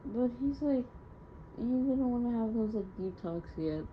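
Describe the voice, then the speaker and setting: A woman's voice: fairly high pitch, animated, measured pace. A young woman recording a casual, confessional audio diary, rambling candidly in slangy speech and sounding hurt and downcast. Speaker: audio diarist. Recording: muffled lo-fi home recording, faint noise.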